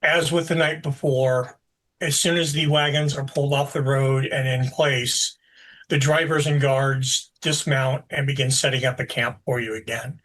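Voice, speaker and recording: A man running a tabletop game as game master, narrating scenes in a relaxed, explanatory way with frequent fillers. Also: medium pitch, normal speed, rough recording.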